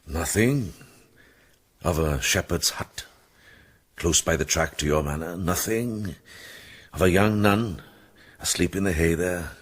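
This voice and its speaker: An actor playing a shrewd older monk in a radio drama, with a Welsh accent and a deep, husky voice. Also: male voice, exaggerated voice. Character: wise, shrewd older monk, kindly but relentless sleuth.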